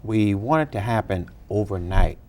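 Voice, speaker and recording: A man's voice: fairly low pitch, animated, moderate pace, darkish tone. A man hosting an interview, asking conversational questions and nodding along with short replies. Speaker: talk show host.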